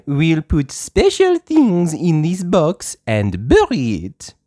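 A woman voicing a kindly female gazelle schoolteacher in a storybook, with patient, drawn-out teacherly phrasing. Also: low-pitched, wildly swooping pitch, dark tone, pitched above the performer's own voice, heavily acted. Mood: patient, instructive.

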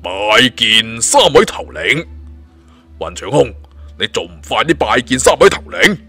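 A man's voice: medium pitch, very animated, a little slow, sharp tone, husky, soft background music, exaggerated voice. A man voicing a boastful, fawning bandit underling in a Cantonese audio story, hearty and swaggering, with a Hong Kong accent. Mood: obsequious, urging.

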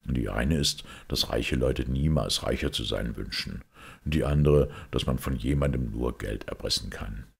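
Guy doing a sombre priest detective, super low and really husky, measured audiobook talk. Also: heavily acted. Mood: explaining. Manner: measured, reflective explaining. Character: grave, thoughtful priest detective, calm and sombre. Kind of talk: audiobook character acting.

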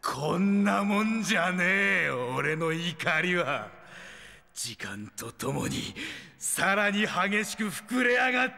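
An actor playing a gruff young hero in an anime audio drama, raspy, terse and brooding with controlled anger. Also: male voice, sits high, sing-song, slow, sharp tone, heavily acted. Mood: furious. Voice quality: gravelly, raspy. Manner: terse, rough-spoken, brooding. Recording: long reverb.

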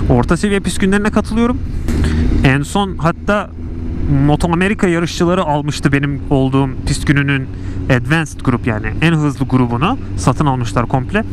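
A man talking casually in a rambling, self-mocking way while riding a motorcycle through city streets, recorded on a helmet mic. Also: on the high side. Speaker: motovlogger. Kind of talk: casual riding vlog chat. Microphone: helmet mic on a moving motorcycle. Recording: helmet mic with road and wind noise. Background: traffic and engine noise.

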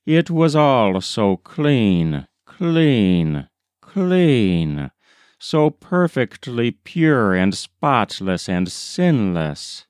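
A man reading a short story aloud as scripted literary narration, in a measured, deliberate storytelling manner. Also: medium pitch, sing-song, a little mellow, clean tone, dry recording, clean studio sound. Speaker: audiobook reader.

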